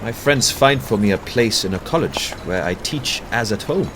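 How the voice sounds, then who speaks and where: A man playing a gentle, courteous, lovestruck German professor in an audio play, with a German accent and formal, halting phrasing. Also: lowish voice, lively intonation, background noise, lightly acted. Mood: subdued.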